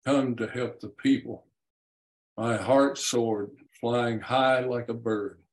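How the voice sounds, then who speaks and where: A man's voice: mid-range voice, steady tone, slow, rough recording. A man, an author, reading his first-person story aloud in a narrated storytelling style.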